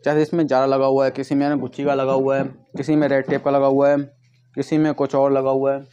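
A man casually pitching products to camera, often addressing viewers as friends and leaning on repeated verbal tics. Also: monotone, quick pace, warm tone, clear, resonant tone, highs cut off. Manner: repetitive filler phrases, addresses viewers as friends. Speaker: vlogger and shoe seller. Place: tiled room at home with stock boxes, indoors. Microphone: clip-on lapel mic. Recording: noticeable background noise, clicks.

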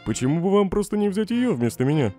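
A man's voice: sits high, very animated, quick pace, dark tone. A man voicing a snarky, irritable skeleton scientist in a comic dub, sardonic and dismissive. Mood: sly, relieved. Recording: faint music behind the voice.

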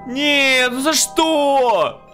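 A man's voice: very high voice, drawn-out words, pushed and bright, soft background music. A man in his twenties casually reacting to a video, exclamatory and blunt, swearing freely. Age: 20s.

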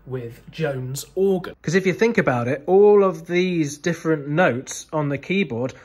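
A man's voice: on the high side, unhurried, darkish tone, slightly rough. A British man in his thirties presenting an explainer to camera in a conversational, enthusiastic way. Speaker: museum video host. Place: indoor museum room full of synthesizers.

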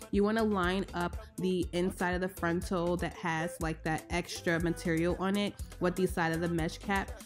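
Young woman, slightly hoarse, lower voice, chatty tutorial voice-over, casual fillers, faint music behind. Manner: chatty, relaxed, explains step by step with "like" and "um". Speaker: hair tutorial vlogger. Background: faint background music.

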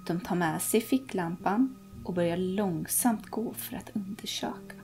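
A woman's voice: fairly low pitch, vocal fry. A young woman reading a ghost story aloud to children in a cozy living room, dropping to whispers at the tense moments. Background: soft background music.

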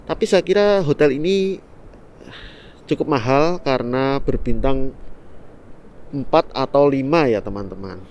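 A man's voice: medium pitch, unhurried, pauses often, darkish tone, dull audio, slight background noise. A man in his thirties talking casually to viewers while walking, full of fillers and asides, recorded on a phone at arm's length outdoors. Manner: chatty, many fillers, keeps addressing viewers as friends. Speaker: vlogger. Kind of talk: casual walking tour vlog. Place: outdoors on a city street. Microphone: phone held at arm's length.

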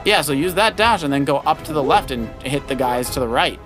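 A man's voice: a little high, expressive, fairly quick. A middle-aged man giving casual, encouraging gameplay commentary like a coach at the player's side. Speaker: dad co-hosting a Let's Play. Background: loud video game music.

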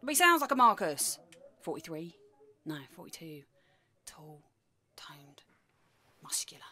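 An actress playing a bitter, snobbish middle-aged wife in an audio play, sarcastic and scornful. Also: female voice, lowish voice, big pitch swings, measured pace, pushed and bright, heavily acted. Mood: dreamy, defensive.

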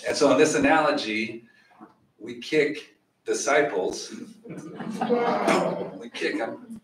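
A middle-aged man teaching a Bible study in a small church room, animated, with questions for the audience. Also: mid-range voice, slow. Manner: animated, gestures widely, asks the audience questions. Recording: echoey room, voice from far off.

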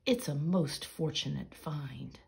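A woman's voice: low-pitched, slow pace, vocal fry, full character voice. A woman voicing a fussy, earnest male guinea pig who loves comfort, in a children's storybook. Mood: satisfied.

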